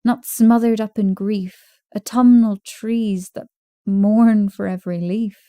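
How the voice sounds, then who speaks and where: A woman reciting poetry in a measured, deliberate way, pausing between short phrases. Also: medium pitch, steady tone, dark tone, clean tone, no echo, studio quality. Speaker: poetry reader.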